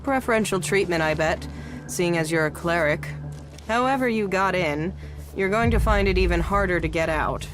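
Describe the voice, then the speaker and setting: A woman's voice: lowish voice, moderate pace, music in the background, close to an everyday voice. A woman playing a shrewd, jaded smuggler in a video game, speaking in a sardonic, sizing-you-up way. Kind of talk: video game dialogue acting.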